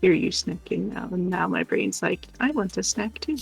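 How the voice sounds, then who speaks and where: A woman with a gravelly, husky voice chats casually on a livestream. She rambles and giggles as she goes, over faint background music. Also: fairly low pitch, sing-song, takes it slowly, low-quality recording. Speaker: live stream co-host. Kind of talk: casual livestream chat while crocheting.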